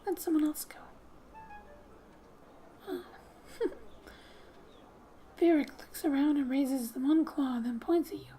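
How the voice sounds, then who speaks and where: A woman speaking softly and breathily, often near a whisper, as she gently reads game text aloud for an ASMR gaming video. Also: high voice, slow, pauses often, slight background noise.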